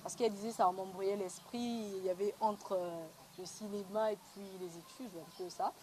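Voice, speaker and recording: A woman's voice: medium pitch, fairly even intonation, moderate pace, a little mellow. A young woman with a Congolese accent, answering conversationally as an interviewed film actress, recorded on noisy location sound. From Republic of the Congo.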